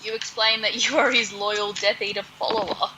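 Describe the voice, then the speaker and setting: A woman's voice: mid-range voice, fairly even intonation, normal speed, heavy background noise. A woman co-hosting a podcast in casual chat, with an informal, sardonic way of talking. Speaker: podcast co-host.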